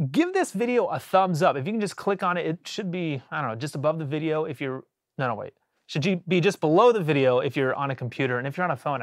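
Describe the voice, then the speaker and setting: Guy hosting a music livestream, rambly and laid back, bit higher voice, dry close sound, a little off from a cold. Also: no room sound. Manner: chatty, rambling, frequent fillers and restarts. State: recovering from a cold. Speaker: livestream host, bassist. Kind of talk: casual livestream chat.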